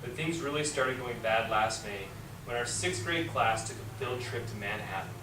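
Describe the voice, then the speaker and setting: A man's voice: mid-range voice, moderate pace, lightly acted. Troubled schoolboy hero for reader's theater adult man, sincere storytelling, recorded far from the mic with noise. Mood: wry. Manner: earnest first-person storytelling. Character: troubled twelve-year-old schoolboy, put-upon, earnest narrator. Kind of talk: amateur reader's theater acting. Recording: echoey room, distant mic, clear noise.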